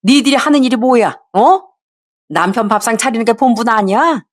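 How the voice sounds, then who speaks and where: A woman voicing a bossy older mother-in-law who nags and yells at her daughter-in-law. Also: fairly high pitch, normal speed, voice pushed up, lightly acted. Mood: scolding. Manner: sharp, nagging, raises voice to yell.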